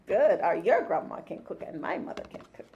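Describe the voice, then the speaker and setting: A woman's voice: fairly low pitch, very animated, fairly quick, a little mellow, muffled recording, slight background noise. A woman reading a picture book aloud to her young child in a casual, encouraging way, chatting and echoing the child's words. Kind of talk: casual interactive story time read-aloud.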